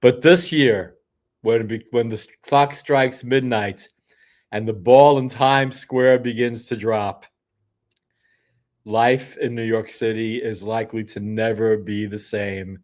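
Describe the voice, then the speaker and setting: A man's voice: lowish voice, steady tone, slow, a little mellow. An older man hosting a solo livestream, rambling through casual commentary with frequent restarts and asides, sniffly from a cold. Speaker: host.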